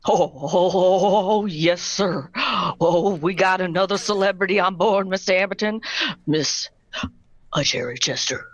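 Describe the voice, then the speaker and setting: A man acting in a radio play as a meek, eager train porter who stammers deferentially and talks haltingly. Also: sits high, dry recording, lo-fi sound.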